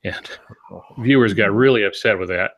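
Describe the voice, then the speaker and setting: A man in his sixties explaining things conversationally as a podcast co-host, in a measured, halting way with pauses mid-phrase. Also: fairly low pitch, lo-fi sound. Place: home office, indoors. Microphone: video call with earphones.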